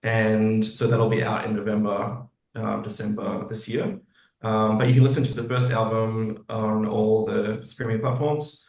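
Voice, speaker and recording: Male interview guest, composer, fairly low pitch, casual conversational delivery, roomy sound. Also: flat delivery, a little slow, darkish tone. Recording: roomy echo.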